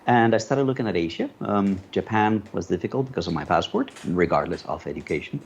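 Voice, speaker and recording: A middle-aged man from Colombia, a native Spanish speaker, telling his story in a relaxed, rambling way with many fillers. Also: sits low, brisk, gravelly. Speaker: panel guest, media commentator. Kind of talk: casual conversational storytelling. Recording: dull lo-fi call audio with faint noise.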